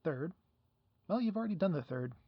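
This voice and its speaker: A man's voice: a little high, lively intonation, a little fast, husky, no echo. A man playing an affectionate, teasing husband leaving an intimate voice message in an audio roleplay. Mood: tender, playful. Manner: tender, teasing. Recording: muffled cellphone voice message, dry.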